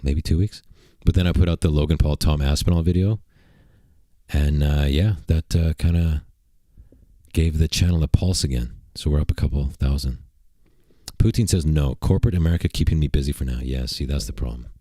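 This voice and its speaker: A man's voice: very deep voice, steady tone, moderate pace, mellow, no echo, studio quality. A man hosting a casual livestream at his computer, rambling and joking in a crude, provocative way. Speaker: streamer and channel host. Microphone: desk mic at a computer.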